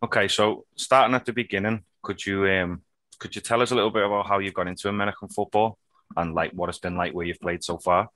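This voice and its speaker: A man hosting a podcast who asks plain, measured questions. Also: low voice, fairly even intonation, a bit bright, dry recording. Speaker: podcast host.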